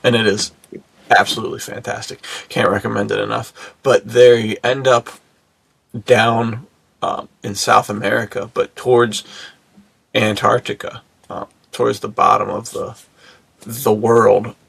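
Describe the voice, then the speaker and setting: A man's voice: fairly low pitch, little pitch movement, a little slow, creaky, a bit bright, slightly rough, no room sound. A man co-hosting a podcast in casual chat, talking in laid-back bro slang. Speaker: podcast co-host.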